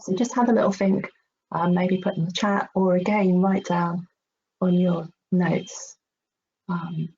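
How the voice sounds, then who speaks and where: A middle-aged woman talks informally to a workshop, hesitant but encouraging. Her voice is lowish and soft-edged, on a dull call recording with faint noise. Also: fairly even intonation, measured pace. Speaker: workshop presenter. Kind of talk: informal online workshop talk. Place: online video call. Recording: dull, noisy online call audio.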